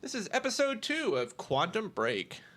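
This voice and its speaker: A man's voice: high-pitched, huge pitch swings, moderate pace, fairly bright tone. A man hosting a gaming podcast in a laid-back chatty way, laughing easily. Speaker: podcast host. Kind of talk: casual chat.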